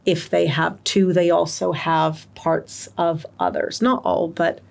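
Woman, gravelly voice, articulate expert guest, steady explaining. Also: fairly low pitch, slow pace. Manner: articulate, explains at length with examples. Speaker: guest expert on multilingual education. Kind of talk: interview answers.

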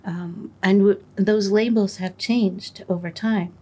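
A woman interviewer reflecting and asking questions in a thoughtful, halting way, often restarting her sentences. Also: mid-range voice, steady tone, dark tone, husky.

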